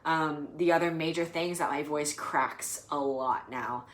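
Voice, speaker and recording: A woman's voice: low voice, unhurried. A young adult woman vlogging casually to the camera, rambling and hesitant with lots of fillers. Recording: roomy echo.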